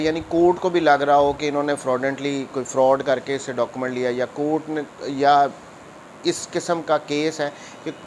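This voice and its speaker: A man with a Pakistani accent, an advocate lecturing on a statute, who reads the legal clauses slowly and then explains them briskly. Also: a little high, a little hiss or hum, rough recording.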